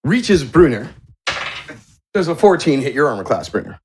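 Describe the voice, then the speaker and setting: Male game master, slightly high register, narrating tabletop play on a slightly noisy recording. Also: very animated, normal speed, darkish tone. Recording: clearly noisy voice track with clicks.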